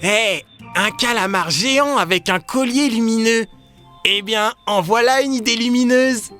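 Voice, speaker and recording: A woman's voice: fairly high pitch, unhurried, fairly bright tone, faint music behind. A woman voicing a loyal, playful young talking dog who likes joking in a children's cartoon. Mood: jokey.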